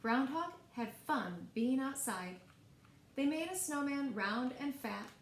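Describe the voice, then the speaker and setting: Female children's storyteller, lively and expressive picture-book read-aloud, roomy sound with faint noise. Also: high voice, slow pace. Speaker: library storyteller. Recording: echoey room, faint background noise.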